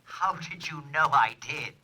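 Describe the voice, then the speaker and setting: A man's voice: on the high side, animated, unhurried, sharp tone, breathy edge, full character voice. An actor in an old-time radio drama playing a smug, teasing rich man who taunts with theatrical glee. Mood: amused, sly.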